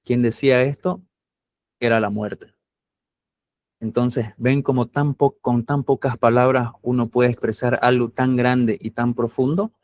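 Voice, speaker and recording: A man with a Bolivian camba accent teaches a university class at a mid pitch. He explains patiently, step by step, and keeps checking that his students follow. His voice sounds dry and close, with no room echo. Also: flat delivery, a little slow, a little mellow. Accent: Bolivian camba (Santa Cruz). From Santa Cruz, Bolivia. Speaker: university lecturer. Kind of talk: classroom lecture.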